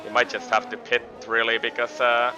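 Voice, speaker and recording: A man with a Finnish accent co-commenting on an online racing league in a relaxed, chatty way, pausing on fillers. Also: mid-range voice, music in the background. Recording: slightly dull sound with clear background noise.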